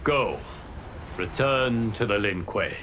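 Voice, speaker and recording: A man playing a stern, solemn guardian god in a video game, speaking formally and deliberately with authority. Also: fairly low pitch, expressive, fairly bright tone, a little hiss or hum. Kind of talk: video game cutscene acting.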